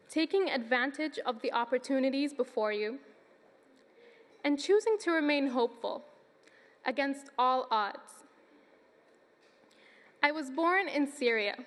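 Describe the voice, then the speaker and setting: High-voiced young woman in a big hall. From Sudan. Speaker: student commencement speaker. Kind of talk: prepared speech. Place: large hall. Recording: long reverb of a large hall.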